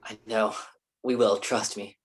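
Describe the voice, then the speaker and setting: A man's voice: on the low side, level tone, unhurried, slightly rough. A man playing an easygoing, reassuring, joking boyfriend in a staged play reading. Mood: warm, teasing.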